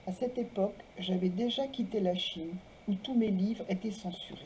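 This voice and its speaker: A woman presenting and reading literary texts aloud in a measured way, phrase by phrase. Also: mid-range voice, dark tone, a little hiss or hum, low-quality recording. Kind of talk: literary talk, biographical introductions and read-aloud texts.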